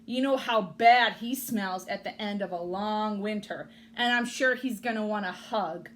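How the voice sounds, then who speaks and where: A woman's voice: medium pitch, a little slow, natural voice. A woman voicing a grumbling, pessimistic rabbit in a children's storybook, with emphatic complaints. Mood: complaining. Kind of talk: children's storybook read-aloud.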